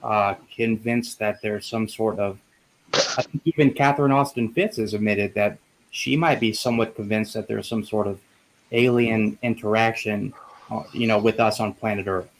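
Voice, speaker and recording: A man's voice: on the low side, normal speed, slight background noise, low-quality recording. A man in casual panel chat, hesitant, with many fillers and restarts. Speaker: panel guest.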